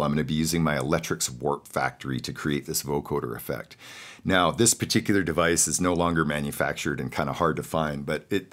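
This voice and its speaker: A man with a low voice hosts a casual studio tutorial. He explains gear in plain, informal terms and encourages the listener, sometimes restating a phrase to make it clear. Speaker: host and audio production instructor.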